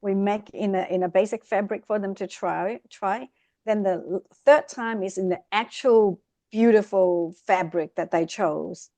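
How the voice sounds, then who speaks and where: Podcast guest: middle-aged woman, expressive storyteller, dry close sound. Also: medium pitch, dry recording. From Vietnam. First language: Vietnamese. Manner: lively, expressive, speeds up in bursts. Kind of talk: casual interview storytelling.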